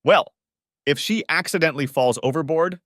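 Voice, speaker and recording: A man voicing a flirty, sharp-tongued woman in an audio story, with a playful, cheeky manner. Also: on the high side, moderate pace, dry recording, clean studio sound, pitched above the performer's own voice, natural voice.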